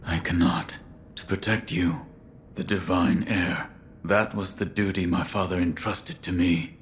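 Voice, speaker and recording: A man playing a stoic, loyal shinobi bodyguard in a video game, terse, gruff and formal. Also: low voice, a little slow, a little hiss or hum. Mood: solemn. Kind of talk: video game cutscene acting.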